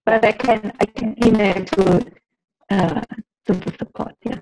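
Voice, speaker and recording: A woman's voice: mid-range voice, steady tone, background noise. A German woman, a native German speaker, asking a hesitant question on a video call. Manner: hesitant, halting. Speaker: course participant. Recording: connection cutting in and out.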